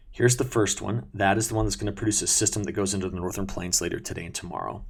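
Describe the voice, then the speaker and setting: A man presenting an informal, explanatory weather briefing, talking viewers through maps step by step in a conversational way. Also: fairly low pitch.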